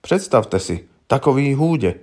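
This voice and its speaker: A shrewd old country herbalist woman from an audiobook, acted by a man, pitched up well above a narrator's register. She speaks in blunt, rustic turns of phrase, wary and gruff, as one who knows everybody's business. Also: sing-song, mellow. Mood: blunt, confiding. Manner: rustic colloquial Czech, blunt and knowing. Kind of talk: audiobook character voice.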